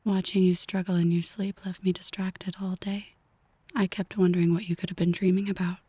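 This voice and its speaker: A woman voicing a possessive yandere mafia boss in an ASMR roleplay, slow and half-whispered, mock-affectionate and coolly menacing. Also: fairly low pitch, little pitch movement, dark tone, husky, dry recording. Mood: teasing, menacing.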